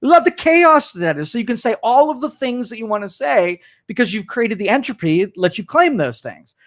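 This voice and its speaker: A man's voice: very high-pitched, big pitch swings, fairly quick, fairly bright tone. A man hosting a studio talk show, arguing political opinions in a rambling way with many restarts and mock quotes.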